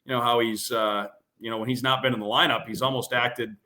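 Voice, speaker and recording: A man's voice: medium pitch, steady tone, a little fast, sharp tone, slight background noise. A man who works as a radio play-by-play announcer, giving casual hockey analysis as a podcast guest. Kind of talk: casual sports analysis in an interview.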